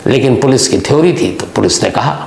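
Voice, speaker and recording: Male true-crime host, gravelly and explanatory, telling it unscripted in a reverberant room. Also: medium pitch, expressive, a little fast, a little mellow. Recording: echoey room, faint background noise.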